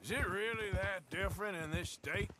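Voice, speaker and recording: A man playing a gruff, wry outlaw gunslinger in a Western game, with an American Southern drawl and a dry, folksy manner. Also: high voice, normal speed, background noise, natural voice. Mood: conversational. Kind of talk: video game cinematic acting.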